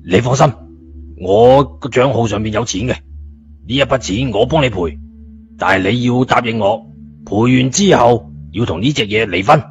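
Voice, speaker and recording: A man's voice: mid-range voice, animated, moderate pace, gravelly, muffled recording. Narrator doing a blunt hot-headed young man. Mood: coaxing. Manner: blunt, scornful. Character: hot-headed, blunt younger brother, protective of his sister. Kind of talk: audiobook character dialogue.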